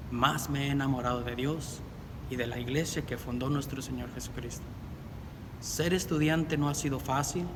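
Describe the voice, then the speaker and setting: Earnest middle-aged man, reflective testimony, long reverb, loud rumbling noise behind. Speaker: deacon candidate. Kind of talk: personal faith testimony.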